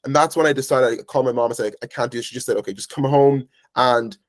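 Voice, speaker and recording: A young Irish man in his early twenties tells his own story as a guest, loose and rambling, with plenty of "like" between his thoughts. His voice sits at an ordinary middle pitch for a man. The recording is dry and close, with no room around it. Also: quick pace, dry recording. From Ireland. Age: early 20s. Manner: rambling, filler-heavy storytelling. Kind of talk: casual interview chat.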